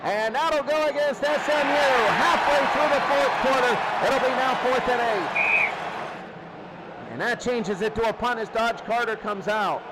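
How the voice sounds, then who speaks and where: Male sports announcer, high register. Terse live football calls over clear noise. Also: unhurried, a loud crowd. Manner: terse calls, repeating down and yardage. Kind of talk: live American football commentary. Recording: clearly noisy recording.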